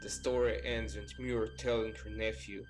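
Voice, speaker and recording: A man's voice: lowish voice, flat delivery, normal speed. A man reading scripted, documentary-style narration as a storyteller. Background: background music.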